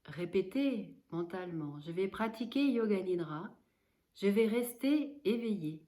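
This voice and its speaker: Female yoga nidra guide, mid-pitched, very slow delivery, calm and measured, leaving space between instructions. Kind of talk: guided relaxation meditation, spoken instruction.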